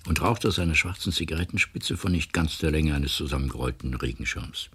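A male actor playing a laconic hard-boiled detective who tells his story dryly in a radio drama, with a gravelly voice. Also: brisk, bright, forceful tone, full character voice. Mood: dry, sardonic. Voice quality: very deep, gravelly husky.

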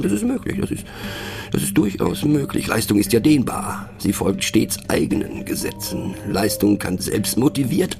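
Gravelly, musing, mocking radio-play narrator. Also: male voice, on the low side, heavily acted. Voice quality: gravelly, husky. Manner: conspiratorial, halting, often dropping to a near whisper. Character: musing, mocking, eccentric male narrator, rambling and self-doubting. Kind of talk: satirical radio monologue addressing the listener.

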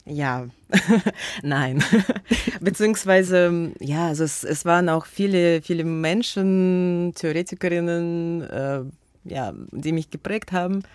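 A woman's voice: on the low side, slow pace, darkish tone, no room sound. A woman with a Russian accent, a native Russian speaker, answering radio interview questions about her museum work in a hesitant way, with many fillers and restarts. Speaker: museum curator and guest.

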